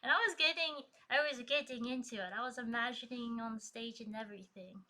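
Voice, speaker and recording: A woman's voice: a little high, a little fast, bright, forceful tone. A woman chatting casually on a live stream, laughing often and reading viewer messages aloud, with a trained, projecting singing voice. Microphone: streaming desk mic.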